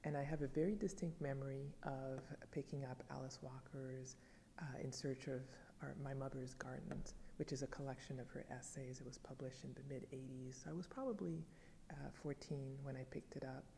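Low, gravelly-voiced woman professor. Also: fairly even intonation, in a large hall, background noise. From Haiti. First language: French. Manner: thoughtful, measured storytelling. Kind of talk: reflective interview answer to camera. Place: dim wood-paneled library. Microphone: fixed camera, seated at medium range.

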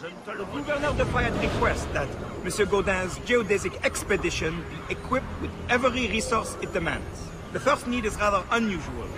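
A man's voice: high voice, expressive, brisk, heavy background noise. A man playing an officious governor's messenger in a game, speaking hesitant, formal officialese. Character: officious male messenger relaying the governor's demands. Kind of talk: overheard game dialogue. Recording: loud outdoor ambience over voice.

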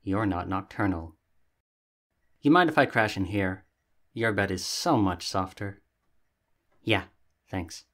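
A man's voice: lowish voice, fairly bright tone. A man playing a sassy, vain young catboy roommate in an ASMR roleplay, talking in a casual, theatrically huffy way. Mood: sleepy, nosy.

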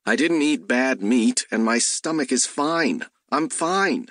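A man's voice: medium pitch, animated, slow pace, fairly bright tone, no echo, clean studio sound. A man voicing an exasperated, sarcastic male detective in an audiobook, with indignant delivery. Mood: irritated.

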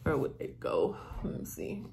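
A woman's voice: moderate pace, darkish tone, a little hiss or hum. A middle-aged woman with a Haitian accent tinged with Southern US, chatting casually and thinking aloud as she answers viewer questions. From Haiti, moved to the US as a child and grew up in Florida. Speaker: YouTuber. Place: room in a house, indoors.

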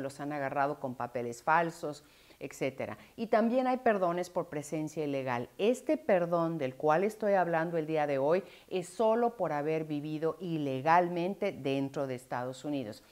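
A middle-aged woman with a somewhat low voice talks straight to the camera as an immigration lawyer. She explains each step plainly and earnestly and gestures as she goes. The sound comes from a close lapel mic in a living room. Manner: clear and earnest, gesturing with both hands.

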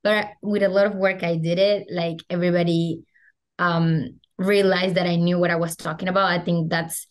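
A young Venezuelan woman whose first language is Spanish talks in a slightly low voice, animated and quick with fillers. She sounds like a guest on a video call from a small room at home. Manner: animated, gestures a lot, many "like" fillers. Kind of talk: casual interview chat. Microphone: video call webcam.

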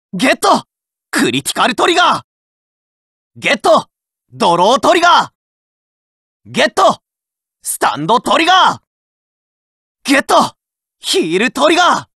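A hot-blooded teenage card fighter from a game, all rough boyish bravado. The voice is bright and forceful, leaping between low growls and high shouts. Between the cries he drags words out slowly, recorded close and dry in a studio. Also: no room sound, heavily acted. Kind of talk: game voice lines, shouted battle calls.

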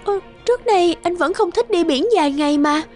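A woman voicing a gentle, anxious young village wife in a cartoon, soft and pleading, with music behind. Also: very high-pitched, lively intonation, fairly quick, voice pushed up. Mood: suspicious, worried. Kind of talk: animated folk-tale dubbing. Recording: music under the voice.

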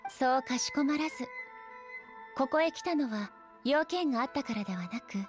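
An actress playing a composed, solemn shogun deity in an anime game, speaking formally and with measured, stately delivery. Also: female voice, high-pitched, expressive, faint music behind. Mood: composed inquiry. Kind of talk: Japanese anime game voice acting.

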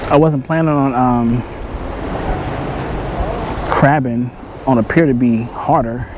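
A man's voice: mid-range voice, slow pace, background noise. A middle-aged man chatting casually and in slang to his viewers as a fishing vlogger, recorded outdoors on a pier. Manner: laid-back, slangy. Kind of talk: casual vlog chat to viewers.

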